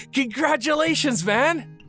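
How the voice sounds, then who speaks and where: A man's voice: very high voice, sharp tone, pitched above the performer's own voice, exaggerated voice. A man voicing a good-natured, loyal young best buddy in an audio drama, chummy and informal. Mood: excited.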